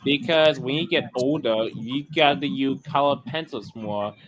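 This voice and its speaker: Blunt man chatting, mid-pitched voice. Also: measured pace, low-quality recording. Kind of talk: casual group chat. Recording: faint music behind the voice.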